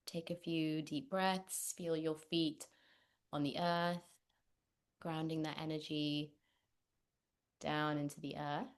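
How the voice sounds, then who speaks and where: Slow, low-pitched woman guiding meditation. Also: little pitch movement. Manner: gentle, unhurried, with long pauses. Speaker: meditation guide, therapist. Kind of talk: guided meditation, spoken instruction.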